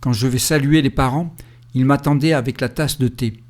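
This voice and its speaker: A man calmly telling the story of his cycling trip in the first person, with a reflective, storytelling way of speaking. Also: mid-range voice, normal speed. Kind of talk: first-person travel narration. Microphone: voice-over recorded separately.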